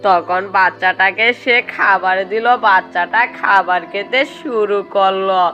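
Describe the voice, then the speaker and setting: A woman's voice: medium pitch, fairly even intonation, unhurried, sharp tone, lo-fi sound, faint music behind. A woman reading scripted narration for a children's cartoon story.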